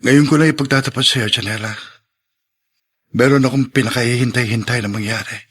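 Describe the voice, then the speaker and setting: An actor playing an earnest, polite young man in a radio drama, husky-voiced and serious. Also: mid-range voice, steady tone, fairly quick, a bit bright. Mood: grave. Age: young adult. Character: earnest young man, shy then grimly determined.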